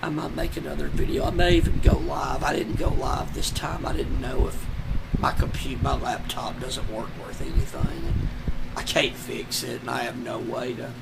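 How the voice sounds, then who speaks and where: A hoarse man lying on the floor at home, talking slowly and despairingly in halting, trailing sentences. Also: mid-range voice, heavy background noise. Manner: slow, halting, despairing, many trailing restarts. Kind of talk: casual personal vlog.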